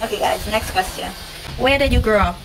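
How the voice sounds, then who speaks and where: A woman's voice: medium pitch, fairly quick. A young woman with a Trinidadian accent, answering viewer questions in a casual, chatty vlog and repeating herself often. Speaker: vlogger. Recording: noisy home recording.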